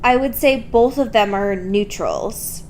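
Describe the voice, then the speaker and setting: A woman's voice: mid-range voice, slow, darkish tone, a little hiss or hum. A young woman co-hosting a podcast in casual, irreverent chat, talking in a slangy, sweary, self-mocking way. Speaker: podcast co-host.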